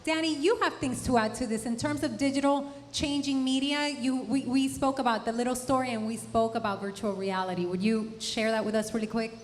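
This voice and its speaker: A woman's voice: a little high, steady tone, brisk, hardly any pauses. A woman moderating a live panel discussion and steering it between speakers. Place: event hall panel. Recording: reverberant hall with light background noise.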